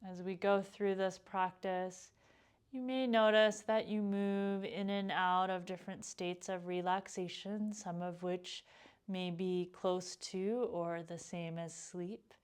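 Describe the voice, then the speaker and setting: Calm lady doing a yoga nidra meditation, really smooth clear voice, gentle and takes her time. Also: fairly low pitch. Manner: calm, gentle, unhurried with pauses. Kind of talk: guided meditation instruction.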